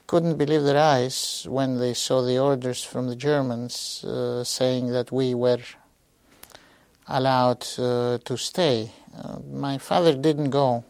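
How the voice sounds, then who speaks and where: A man in his sixties from Greece, giving a halting oral history testimony with many pauses and fillers. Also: medium pitch, a little mellow, no room sound. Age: 60s. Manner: slow, hesitant, with frequent pauses and fillers. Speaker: interviewee.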